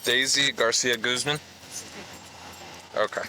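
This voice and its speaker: A man's voice: medium pitch, fairly even intonation, slow pace, sharp tone. A man working as a police officer, questioning a driver at a traffic stop, recorded on body camera audio.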